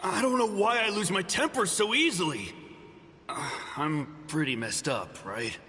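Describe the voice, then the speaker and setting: A man voicing an eager, gullible townsman in a video game, with a husky voice. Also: high-pitched, animated, measured pace, pushed and bright, heavily acted. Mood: troubled. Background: game music.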